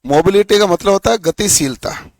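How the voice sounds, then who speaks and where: Hoarse man teaching a lesson. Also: high-pitched, moderate pace, darkish tone, no room sound. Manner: asks students questions and answers them himself. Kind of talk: classroom lecture.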